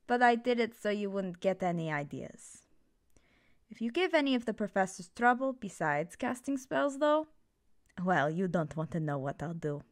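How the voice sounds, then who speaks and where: A woman voicing a stern, authoritative professor of elemental magic in a measured ASMR fantasy roleplay. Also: medium pitch, close to an everyday voice. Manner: strict, measured, commanding.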